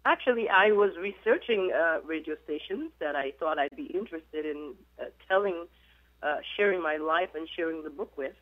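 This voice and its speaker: A woman's voice: deep voice, expressive, moderate pace. A middle-aged woman from Guyana, a minister calling in to a radio interview and telling her life story in a reflective way. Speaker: guest, minister and author. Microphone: telephone. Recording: tinny phone line.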